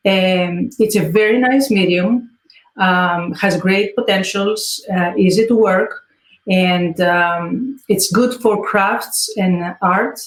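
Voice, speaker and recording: A woman from Greece with a Greek accent, speaking English as a second language in an interview, pausing often with fillers. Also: medium pitch, echoey room, low-quality recording. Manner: hesitant, many fillers. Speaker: guest artist. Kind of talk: interview answers.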